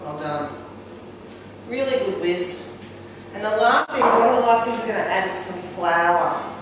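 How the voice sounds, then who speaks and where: A woman's voice: medium pitch, lively intonation, measured pace. A middle-aged woman presenting a cooking show, asking a child questions in a friendly, teacher-like way. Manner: encouraging, asks the child questions. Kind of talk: friendly classroom-style cooking demonstration. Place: kitchen classroom, indoors. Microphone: far camera mic. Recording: echoey room from far off, noisy.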